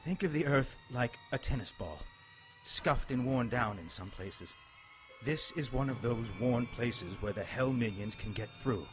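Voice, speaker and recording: A man's voice: medium pitch, lively intonation, unhurried, close to an everyday voice, rough recording. Grave, stern monk played by a man over music. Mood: grave, recounting. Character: dying, bitter, pious monk, stern and fatalistic. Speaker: radio drama actor. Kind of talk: dramatic radio play storytelling.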